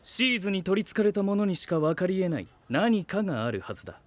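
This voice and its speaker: An actor playing a composed, intellectual young knight in an anime voice drama, precise and businesslike. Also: male voice, high voice, sing-song, quick pace.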